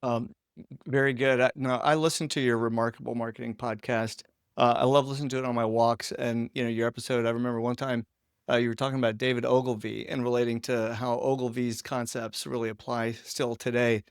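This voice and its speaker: A man's voice: mid-range voice, level tone, a little fast, smooth, no echo, studio quality. A man hosting a conversational podcast interview, asking questions and commenting informally on the answers.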